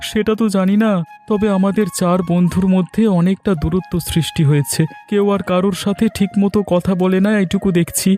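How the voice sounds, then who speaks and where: A man's voice: high voice, fairly even intonation, fairly quick, warm tone, faint music behind, lightly acted. A man voicing a mild, resigned village farmer and husband in a cartoon story, speaking plaintively and conversationally. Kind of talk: Bengali cartoon story voice acting.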